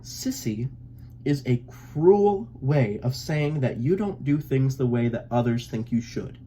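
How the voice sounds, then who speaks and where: A man voicing a gentle mother duck in a storybook, speaking softly and tenderly with reassurance. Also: mid-range voice, animated, a little slow, slight background noise, close to an everyday voice. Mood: tender, reassuring.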